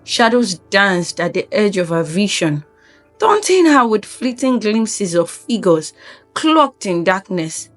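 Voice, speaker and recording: A woman's voice: medium pitch, sing-song, takes it slowly, soft background music. A woman narrating a scripted folktale in a solemn, dramatic storyteller's manner.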